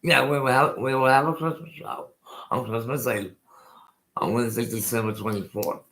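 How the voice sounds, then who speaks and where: An older man in his sixties hosts his own live stream, chatting loosely and going back over his words. His toothless speech can sound unclear, and his voice has a bright, forward edge. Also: mid-range voice, normal speed, vocal fry. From Colorado, USA. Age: 60s. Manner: rambling, repeats himself, restarts often. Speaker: host. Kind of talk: casual live-stream chat.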